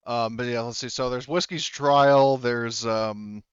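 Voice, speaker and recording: A man's voice: mid-range voice, steady tone, unhurried, clear, resonant tone, no echo. A man speaking casually as game master of a tabletop game, explaining things with hesitant asides. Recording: muffled, slightly lo-fi voice chat mic.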